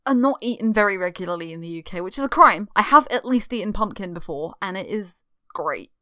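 A woman's voice: medium pitch, lively intonation, brisk, pushed and bright, no room sound, clean studio sound. A woman from the UK, chatting as a guest on a podcast in a friendly manner. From United Kingdom. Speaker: podcast guest, reviews editor. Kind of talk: casual podcast chat.

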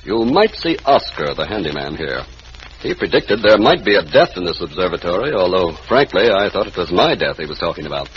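An actor playing a learned, guarded observatory scientist in an old radio mystery, formal and a little hesitant. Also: male voice, sits low, big pitch swings, brisk. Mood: formal, uneasy. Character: reserved, learned, evasive male observatory scientist. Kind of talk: 1940s radio mystery acting. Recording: muffled, noisy old radio recording.

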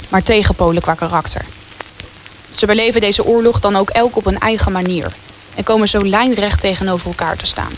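Female narrator over faint rain. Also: mid-range voice. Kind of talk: scripted dramatic narration. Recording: faint rain-like noise behind.

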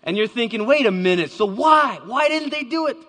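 A man's voice: extremely high pitch, animated, fairly quick, a bit bright. A man giving a dramatic storytelling talk to a live audience, acting out the voices he quotes. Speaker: speaker. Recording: long hall reverb.

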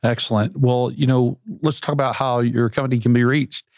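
A man's voice: medium pitch, fairly even intonation, a little fast, a little mellow, no echo, clean studio sound. A man hosting a podcast interview, asking questions in an upbeat, conversational way. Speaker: podcast host.

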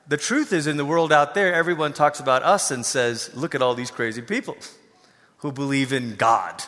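A middle-aged man from Malaysia preaching a conversational sermon, telling personal stories in an informal, animated way. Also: fairly high pitch. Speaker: pastor. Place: large hall, preaching to a congregation. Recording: long reverberant hall sound.

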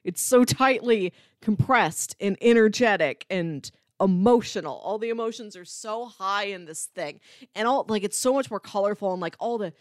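A woman's voice: medium pitch, dry recording, clean studio sound. A woman co-hosting a casual film discussion, speaking in an enthusiastic, emphatic way full of hyperbole. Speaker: podcast co-host.

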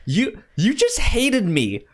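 A man co-hosting a podcast, chatting casually about films in a halting way, often stumbling over and repeating words. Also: high-pitched, huge pitch swings. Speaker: podcast co-host. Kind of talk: casual film discussion chat.

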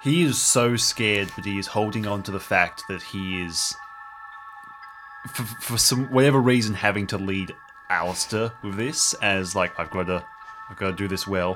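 A man playing in an improvised tabletop audio drama, describing what his character does in a halting, improvised way. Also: lowish voice, music in the background. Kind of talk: tabletop roleplay, narrating his character's actions.

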